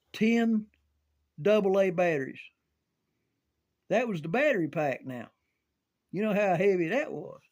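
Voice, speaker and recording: Older american guy rambling about old memories, real slow n halting, kinda high voice, folksy. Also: darkish tone. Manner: halting, folksy, repeats words. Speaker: storyteller sharing recollections of CB radio and volunteer rescue. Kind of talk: casual rambling reminiscence.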